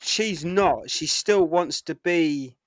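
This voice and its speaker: A man co-hosting a podcast in casual conversation, halting and repeating words as he builds his point. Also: on the high side, dry recording, studio quality. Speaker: podcast co-host. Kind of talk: casual chat.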